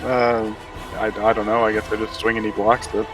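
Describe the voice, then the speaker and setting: A man's voice: fairly low pitch, level tone, brisk, fairly bright tone. A man playing a tabletop game, talking casually in short, unsure replies. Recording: distant from mic, faint music.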